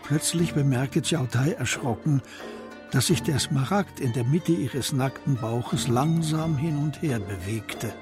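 A male narrator with a medium-pitched voice tells a story for a radio play. His delivery is measured and literary, with music clearly playing behind him. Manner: measured, descriptive. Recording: studio narration over music.

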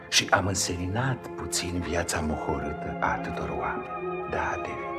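An actor playing a reflective author narrating remembered childhood in a solemn, wistful 1950s Romanian radio play style. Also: male voice, low-pitched. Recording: 1950s radio recording, loud music behind.

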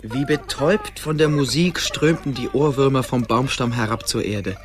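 A man's voice: medium pitch, moderate pace, faint music behind. A man narrating a scripted fantasy radio play as its storyteller. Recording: clear background ambience noise.

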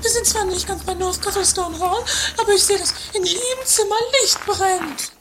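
A woman's voice: very high-pitched, normal speed. A woman playing a vain, grumbling, whiny lady in a trashy amateur comedy radio play. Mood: fretful. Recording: noisy 1980s amateur tape.

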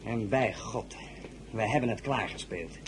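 A man's voice: lowish voice, lively intonation, a little slow, slight background noise, rough recording. A man playing a dutiful aristocratic army officer in a radio drama, reporting in an earnest, formal manner. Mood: proud, earnest.

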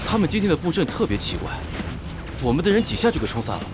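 An actor playing an eager, deferential young guild lieutenant in an audio drama, giving urgent battle reports. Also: male voice, a little high, sing-song, fast. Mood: urgent reporting. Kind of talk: Mandarin audio drama acting. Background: music with battle effects and gunfire.

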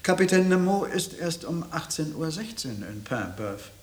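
High-voiced, proper English gentleman. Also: big pitch swings, reverberant, background noise. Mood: matter-of-fact. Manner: hesitant, polite, unhurried. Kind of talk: German radio drama acting.

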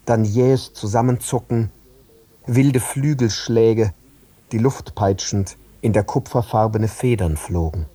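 A man narrating scripted literary prose in an audio drama, phrase by measured phrase. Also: fairly low pitch, dark tone, husky.

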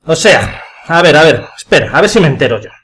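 A man's voice: a little high, very animated, brisk, fairly bright tone, breathy edge, heavily acted. A man from Spain acting an exasperated, bewildered betrayed lover in a radio farce, agitated and colloquial, with a Spanish accent. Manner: agitated, colloquial, repeating himself. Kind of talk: radio play comedy acting.

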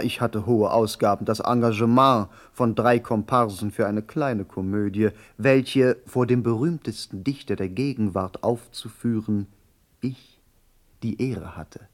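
A man's voice: mid-range voice, close to an everyday voice. An actor playing a scheming male social climber in a radio play, speaking in a formal, flowery, calculating way. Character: smooth-tongued, scheming male social climber, politely extorting a favour.

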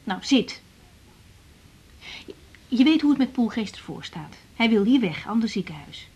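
A young woman doctor with a high-pitched voice and a Netherlands Dutch accent. She is insecure and emotional but speaks her mind, in the manner of 1980s radio drama. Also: sing-song, measured pace, a little mellow, husky, full character voice. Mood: defensive. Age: young adult. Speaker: lead actress.

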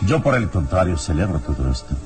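A righteous radio-drama hero with a deep voice. He is calm and solemn, speaking with measured authority while music plays clearly behind him. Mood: solemn, resolute. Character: calm, resolute male hero, a righteous defender of justice.

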